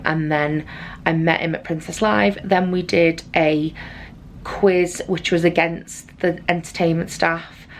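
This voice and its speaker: A woman with a naturally raspy voice, hoarse from losing her voice, chatting through a rambling vlog recap in her cruise cabin on a handheld camera. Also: low-pitched, slight background noise. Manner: chatty and rambling, with frequent fillers. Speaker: travel vlogger. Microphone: handheld compact camera.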